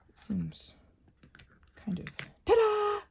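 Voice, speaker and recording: A woman chatting in a rambling ASMR craft-video style, thinking aloud in a scattered way, with a breathy voice that often slips into a whisper. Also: very high voice, huge pitch swings, slow, a little mellow, slight background noise. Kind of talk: rambling ASMR craft chat while painting.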